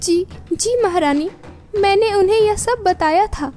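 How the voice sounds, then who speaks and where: Woman as young princess, high voice, flustered, pleading, cartoon story. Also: unhurried, darkish tone, faint music behind. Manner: hesitant, pleading.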